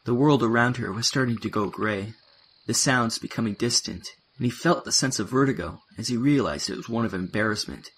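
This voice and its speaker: A man's voice: mid-range voice, brisk, fairly bright tone. A man reading scripted narration as the narrator of a science-fiction audio drama. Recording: faint background noise and ambience.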